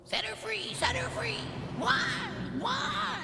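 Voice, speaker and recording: A woman's voice: extremely high pitch, huge pitch swings, slow, creaky, over loud music, exaggerated voice. A woman in a radio play voicing an eccentric, zealous underworld boatman creature who mutters theatrically and swings into cries. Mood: grandiose, zealous.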